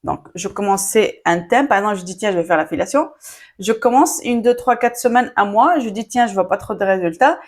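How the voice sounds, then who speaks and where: A woman's voice: mid-range voice, brisk. Woman, online business coach, casual chatty delivery, lots of fillers and asides, Arabic first language. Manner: chatty, frequent fillers, addresses viewers directly. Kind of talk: casual talking-to-camera advice.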